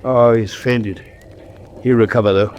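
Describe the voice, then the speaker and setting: An actor playing a bluff, concerned companion to a detective in a radio drama, hearty and exclamatory. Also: male voice, medium pitch, fairly even intonation, measured pace, darkish tone, slight background noise, lightly acted. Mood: soothing.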